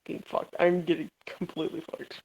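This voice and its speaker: A young woman hosting a casual podcast while gaming, with a loud voice, playful swearing and trash talk. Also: low voice, level tone, normal speed, vocal fry, breathy edge, poor audio. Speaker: podcast host.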